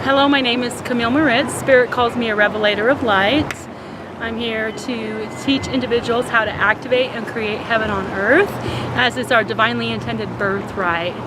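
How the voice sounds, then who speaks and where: A woman with a slightly high voice speaks in a measured, earnest way as a spiritual teacher. Outdoor noise is clearly heard behind her. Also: steady tone. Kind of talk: prepared self-introduction.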